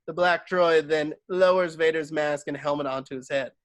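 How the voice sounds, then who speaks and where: Male narrator for a script read-through, slightly high voice, reading scene directions. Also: fairly even intonation, moderate pace.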